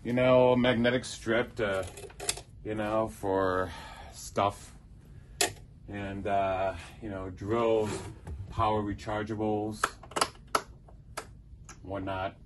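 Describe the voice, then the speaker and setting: A man's voice: lowish voice, steady tone, takes it slowly, pauses often, noisy background. A middle-aged man giving a casual, rambling tour of his prop box in a theater prop shop, listing tools with many ums and uhs. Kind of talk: casual show-and-tell tour.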